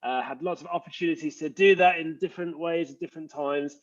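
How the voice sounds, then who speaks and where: A man's voice: a little high, steady tone, quick pace. English man, slightly muffled call audio. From England. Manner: earnest, explanatory, frequent uh fillers. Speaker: leadership coach. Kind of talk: conversational interview.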